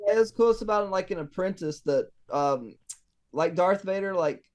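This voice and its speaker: A man's voice: high voice, lively intonation, moderate pace, a little mellow. A man on a casual podcast, talking hesitantly with many ums and likes.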